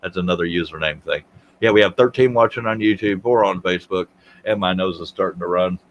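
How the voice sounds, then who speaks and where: A man's voice: deep voice, fairly quick, muffled recording, slight background noise. A man, hosting a casual livestream chat, joking and self-deprecating as he rambles. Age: 50s. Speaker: livestream host.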